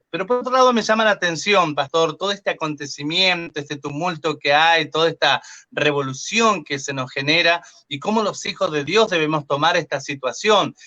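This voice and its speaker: A man hosting a radio interview, eager and quick as he welcomes and questions his guest. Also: on the high side, pushed and bright. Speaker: radio host. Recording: dull lo-fi sound.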